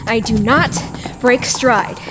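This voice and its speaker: A woman's voice: fairly high pitch, takes it slowly, over loud music, rough recording. A woman playing a brash, foul-mouthed jogger fleeing a pursuer in an audio horror play. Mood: defiant. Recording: heavy background noise and outdoor ambience.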